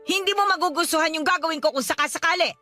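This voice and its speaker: Shrill, domineering older mother. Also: fast, bright, forceful tone, faint music behind. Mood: stern, threatening. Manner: scolding, bossy. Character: domineering, threatening older mother, strict landlady. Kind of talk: Tagalog radio drama acting.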